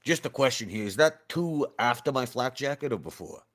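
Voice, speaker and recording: A man's voice: medium pitch, animated, a little fast, fairly bright tone. A man talking casually as a player in a tabletop roleplaying game, working through his moves.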